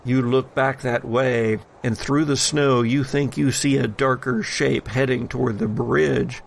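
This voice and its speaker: Slow, gravelly-edged male game master. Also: medium pitch, fairly even intonation, slight background noise. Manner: deliberate, dramatic pausing when narrating. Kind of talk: tabletop horror game narration.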